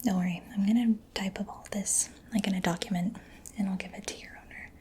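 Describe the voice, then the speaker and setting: A woman whispering in a breathy, gentle, unhurried murmur for an ASMR roleplay, close to the microphone. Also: medium pitch, steady tone. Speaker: ASMR creator playing a robot mechanic. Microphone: close-up sensitive microphone. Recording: close-up whisper with clear background noise.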